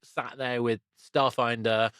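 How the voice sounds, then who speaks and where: A man hosting a conversational podcast interview, talking in a halting, wordy, enthusiastic way. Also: medium pitch, flat delivery, fairly bright tone, clean tone, no room sound, studio quality. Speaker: podcast host.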